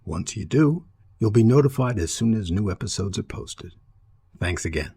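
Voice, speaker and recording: An American man hosting and narrating a scripted history documentary in an old-time radio storytelling style, with measured, dramatic emphasis. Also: fairly low pitch, animated, creaky, warm tone, slightly rough, dry recording. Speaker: host and narrator.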